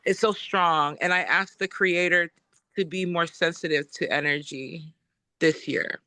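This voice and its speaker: Bright, smooth-voiced woman from Samoa. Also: lowish voice, fairly even intonation, a little slow, no room sound. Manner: warm, effusive, spiritual talk with frequent fillers. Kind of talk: casual conversation.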